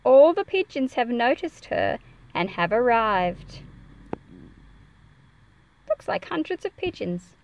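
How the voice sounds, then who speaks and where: A woman's voice: on the high side, slow pace, long pauses, poor audio. A woman reading a picture book to children, expressively explaining it and asking them questions. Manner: expressive, explanatory, addressing young listeners with questions. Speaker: read-aloud presenter teaching children.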